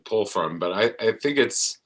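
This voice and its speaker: A middle-aged man, an actor talking as an interview guest, reflective and halting as he searches for words. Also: on the low side, expressive.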